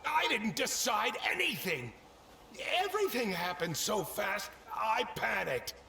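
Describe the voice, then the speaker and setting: An actor playing a cowardly middle-aged politician in a video game drama, defensive, halting and pleading. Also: male voice, high voice, sing-song, gravelly, pushed and bright, reverberant, slight background noise, heavily acted. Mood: panicked, defensive.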